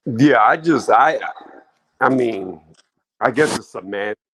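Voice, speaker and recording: Relaxed male boxing talk host, mid-pitched, easygoing and thoughtful. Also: slow pace, low-quality recording. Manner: relaxed, conversational, reasoning aloud.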